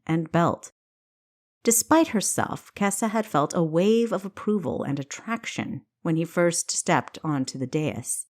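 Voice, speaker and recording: A woman narrates her own story as an audiobook. Her voice sits a little low and moves expressively up and down, and she takes her time with the scripted prose.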